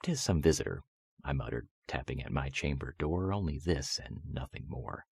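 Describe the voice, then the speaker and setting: A man with an extremely low voice and heavy creak reads gothic verse like a seasoned voice actor. His delivery is brooding and theatrical, swinging widely in pitch across measured phrases. The tone is husky and gravelly. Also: expressive, a little mellow, no echo. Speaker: voice actor reading poetry. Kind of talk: dramatic gothic poetry recitation.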